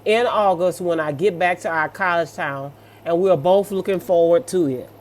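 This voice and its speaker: A woman's voice: on the low side, normal speed, a little mellow, a little hiss or hum. A middle-aged woman, reading stories and reacting in a casual, sassy, motherly, colloquial way. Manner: motherly, sassy, colloquial, addressing listener as baby. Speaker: host of a story-reaction show.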